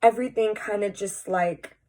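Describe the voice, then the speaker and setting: A young woman talks straight to her camera, her voice stuffy and a little nasal from a cold. She speaks candidly and earnestly, in a loose conversational way, as if confiding in her followers. Her pitch sits in a middle range for a woman. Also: fairly even intonation, moderate pace, dark tone. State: congested, sounds nasal from a cold. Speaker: vlogger. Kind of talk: personal confessional vlog.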